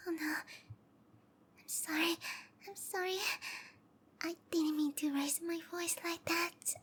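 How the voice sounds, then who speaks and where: A woman voicing a sweet, obsessive young snake-monster girlfriend in a roleplay ASMR, soft and whispery, with a cutesy, pleading delivery. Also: extremely high pitch, steady tone, slow, exaggerated voice. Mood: apologetic, worried.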